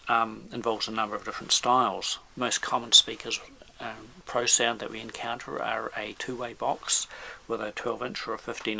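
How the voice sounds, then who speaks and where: A man giving an informal technical explainer as an expert presenter, in a halting, measured way. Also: lowish voice, creaky, sharp tone, a little hiss or hum, poor audio.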